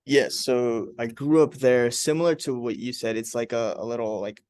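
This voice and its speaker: A young man from Uzbekistan hosting a casual interview over a video call, relaxed and full of "like"s. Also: medium pitch, moderate pace, no room sound, studio quality. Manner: relaxed, filler-heavy, with many "like"s. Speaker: host.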